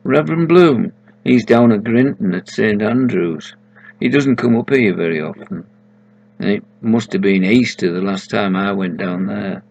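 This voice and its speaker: Man voicing a gentle old lady ghost, softly fretting. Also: on the low side, unhurried, creaky, no room sound, highs cut off. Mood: gentle, worried. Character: gentle old lady's ghost, fretting over her animals.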